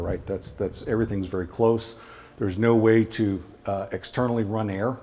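A man's voice: on the low side, warm tone. A man giving an informal technical product presentation in a conversational, explanatory way, often checking in with his listeners.

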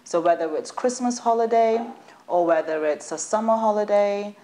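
A woman, a guest in a studio interview, telling stories in an animated, chatty way and laughing at times. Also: mid-range voice, normal speed, a little hiss or hum. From United Kingdom. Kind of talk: casual interview chat.

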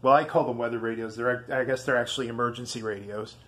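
A man giving a casual product demonstration in a halting, rambling, easygoing way. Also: mid-range voice, steady tone, fairly bright tone. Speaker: video host.

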